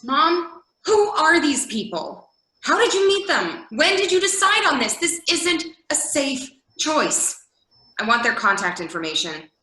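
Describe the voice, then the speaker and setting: A woman's voice: high voice, sing-song, a little slow, pushed and bright, full character voice. A woman acting a worried, practical adult daughter in a readers theater drama, pleading and insisting in exasperation. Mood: alarmed. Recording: roomy, echoey video call audio.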